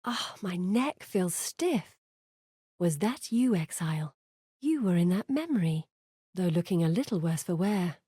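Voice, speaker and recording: A woman's voice: fairly low pitch, expressive, a little slow, warm tone, breathy edge, no room sound, clean studio sound. An actress playing an earnest, curious woman scholar in a video game, articulate, thoughtful and dramatic in delivery. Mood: puzzled, uneasy. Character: earnest, curious woman scholar guiding an exile through memories. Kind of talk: video game character voice acting.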